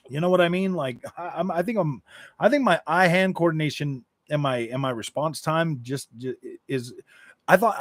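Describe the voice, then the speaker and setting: A man's voice: on the high side, no echo, clean studio sound. A man chatting casually on a gaming live stream, answering his chat in a loose, rambling way that circles back and repeats phrases.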